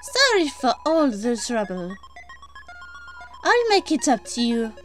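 A dainty spider monster lady from a video game, acted out by a man, high and unhurried. She is playful and teasing, with sweet menace under her swooping delivery. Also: huge pitch swings, a bit bright, faint music behind, exaggerated voice. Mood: sweetly apologetic.

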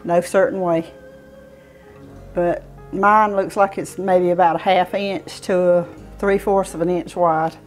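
A woman's voice: fairly low pitch, fairly even intonation, measured pace, soft background music. Southern US woman in her sixties, cooking host. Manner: folksy, explaining step by step while working. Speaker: home cooking show host. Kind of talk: informal cooking demonstration. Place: home kitchen, indoors. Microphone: clip-on lapel mic.

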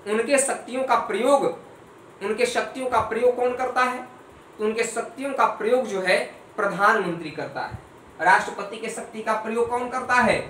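A young male teacher works through an exam-prep lesson at a whiteboard, drilling each point with repeated rhetorical questions. His voice sits at an ordinary pitch and comes through an echoey small room. He is picked up by a camera mic a few steps away, with faint room noise behind him. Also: pushed and bright, recorded from a distance. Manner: calls viewers dear friends.

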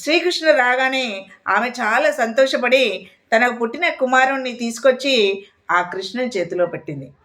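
A woman's voice: fairly high pitch, expressive, normal speed, a bit bright. A woman storyteller telling a moral tale expressively, speaking directly to her listeners.

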